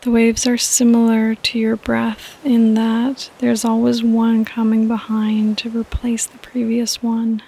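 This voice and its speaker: Slow, breathy woman guiding sleep meditation. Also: fairly high pitch, monotone, poor audio. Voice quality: breathy, often near a whisper. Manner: soothing, gentle, unhurried. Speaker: podcast host and meditation guide. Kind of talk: soft-spoken guided sleep meditation.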